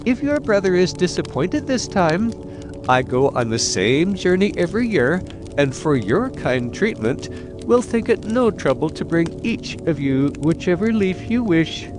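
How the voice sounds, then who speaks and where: A man's voice: high-pitched, very animated, measured pace, over music, pitched above the performer's own voice. A man voicing a magical talking cuckoo in a fairy tale, a wise and proud herald of spring with lofty, formal, old-fashioned speech. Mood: gently reproving.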